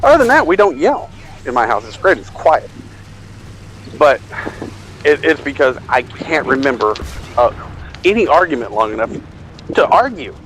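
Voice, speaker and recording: A man's voice: mid-range voice, big pitch swings, normal speed, pauses often, a bit bright, slight background noise, low-quality recording. A middle-aged man telling a rambling, self-deprecating anecdote in a casual way, acting out bits of dialogue. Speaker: storyteller.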